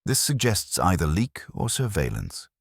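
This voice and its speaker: A man's voice: sits low, wildly swooping pitch, moderate pace, vocal fry, husky, no echo, studio quality, voice pushed up, full character voice. A man voicing an imperious, eccentric master detective in an audiobook, with formal, pompous, precise speech. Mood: measured, commanding. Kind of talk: acted dialogue in a detective audiobook.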